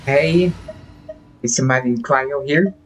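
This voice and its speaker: Low-key man streaming a game. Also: a little slow, fairly bright tone, soft background music. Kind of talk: casual livestream gameplay chat.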